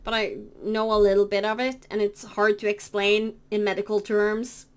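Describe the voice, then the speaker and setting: A woman with a Norwegian accent, a native Norwegian speaker talking in English, explaining things to camera in a halting way with many fillers and self-corrections. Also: medium pitch, flat delivery, smooth. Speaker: cross-stitch vlogger. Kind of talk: casual explanatory talk to camera.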